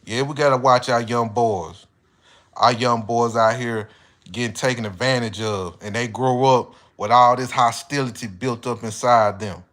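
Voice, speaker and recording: A man's voice: mid-range voice, moderate pace, fairly bright tone. An American man giving a casual, opinionated monologue as an online commentator, speaking bluntly with heavy slang and swearing. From United States.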